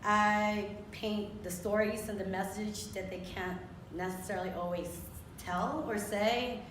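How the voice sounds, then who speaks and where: A woman's voice: medium pitch, steady tone, unhurried, background noise. A woman from the Philippines, a muralist on a panel, speaking earnestly and reflectively with many fillers. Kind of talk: panel discussion. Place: theater with audience. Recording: echoey theater from far off.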